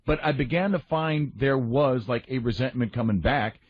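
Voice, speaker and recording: Man, husky voice, halting delivery, casual radio talk, slightly dull recording. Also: mid-range voice. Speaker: radio show staff member and comedy writer. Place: radio studio.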